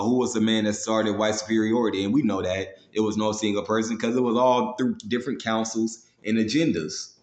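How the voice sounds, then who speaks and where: A man's voice: fairly low pitch, echoey room. A man preaching in a confrontational, profane, slangy way, moving between scripture readings and blunt commentary peppered with casual tags. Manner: slangy, with frequent "man" and "you know" tags. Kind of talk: fiery street-style sermon with scripture read aloud.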